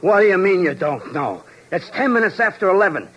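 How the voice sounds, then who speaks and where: An actor playing a flustered, slow-witted police sergeant, blustering and plaintive with a husky voice, in 1950s radio drama. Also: male voice, high-pitched, sing-song, dull audio.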